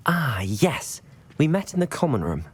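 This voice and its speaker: A man's voice: medium pitch, sing-song, unhurried, breathy edge. A man voicing a polite, level-headed new student at a wizard school in a video game, cautious in manner.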